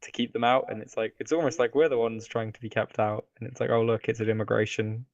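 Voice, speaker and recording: A man's voice: lowish voice, steady tone, quick pace, dry recording. A man co-hosting a podcast, talking casually about films in a hesitant, wry conversational way on a slightly muffled microphone. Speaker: podcast co-host. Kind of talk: casual film chat. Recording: dull, slightly lo-fi microphone sound.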